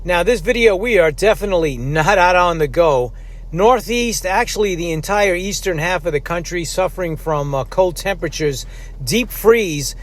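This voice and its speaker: A man hosting a hobby radio channel, talking casually and haltingly as he explains things in an informal vlog style. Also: high voice. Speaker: host of a ham radio channel. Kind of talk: casual vlog talk about radio operating. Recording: clear background noise.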